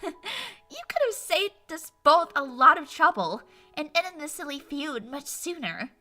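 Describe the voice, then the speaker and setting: A woman's voice: sits high, sing-song, a little slow, pushed and bright, soft background music, full character voice. A woman voicing a boastful, whiny female pony magician in a cartoon-style fan audio drama, theatrical, brash and petulant. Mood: relieved, teasing.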